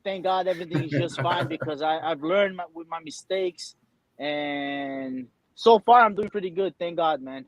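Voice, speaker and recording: A man's voice: fairly high pitch, moderate pace, poor audio. A man from Brazil, a guest in a casual online chat, talking in a hyped, friendly way and calling people brother.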